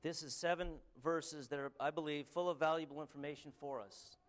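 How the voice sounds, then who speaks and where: A man with a Canadian accent preaching a sermon and reading scripture, earnest and halting, with frequent ums and restarts. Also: fairly high pitch, fairly even intonation.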